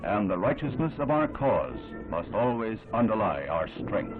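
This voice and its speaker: Male American newsreel narrator, 1960s: low-voiced, solemn and oratorical, on a thin band-limited old soundtrack. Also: big pitch swings, a little slow, narrow, tinny audio. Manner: solemn, formal, dramatic oratorical delivery. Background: loud music.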